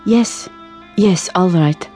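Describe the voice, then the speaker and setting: A woman playing a gentle, doubtful young expectant mother in a radio drama, measured and earnest. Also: fairly low pitch, faint music behind. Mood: earnest, troubled.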